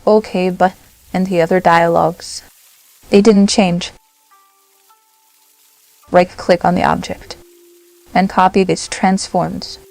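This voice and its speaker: Low, creaky woman narrating a tutorial. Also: flat delivery, slow, long pauses, darkish tone, no echo, faint music behind, low-quality recording. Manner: short, simple instructional phrases. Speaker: software tutorial host. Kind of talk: step-by-step software tutorial narration.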